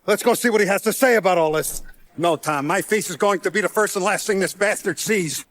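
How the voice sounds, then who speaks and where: A hardened mob boss from a video game, commanding, calculating, streetwise, dry close sound. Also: male voice, high-pitched, fairly bright tone, no echo. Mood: angry, agitated. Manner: deliberate, authoritative, streetwise gangster talk. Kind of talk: video game character acting.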